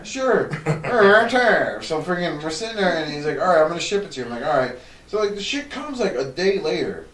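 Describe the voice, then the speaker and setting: Animated man telling a crude story. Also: on the high side, brisk. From Cuba. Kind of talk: casual convention chat. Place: convention hall. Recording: echoey hall, mic far off.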